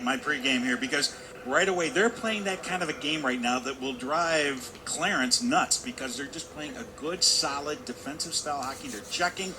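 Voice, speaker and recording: A man giving live hockey analysis on radio as color commentator, explaining play conversationally in halting phrases. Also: a little high. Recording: clear background noise of an ice rink.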